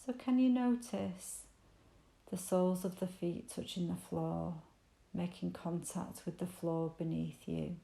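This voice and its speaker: A woman leading a guided meditation in a gentle, soothing, invitational manner with unhurried pauses. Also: on the low side, fairly even intonation, gravelly, echoey room. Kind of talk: guided body scan meditation.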